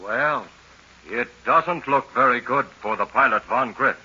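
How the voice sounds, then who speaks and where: A man's voice: medium pitch, pushed and bright, a little hiss or hum. A man announcing a 1940s radio serial with emphatic, dramatic scripted delivery. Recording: muffled 1940s radio recording.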